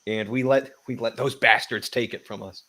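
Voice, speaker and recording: Male co-host, mid-pitched, loose storyteller who swears freely. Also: steady tone, moderate pace, bright, forceful tone. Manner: rambling storyteller with profanity and stumbles. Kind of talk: casual chat.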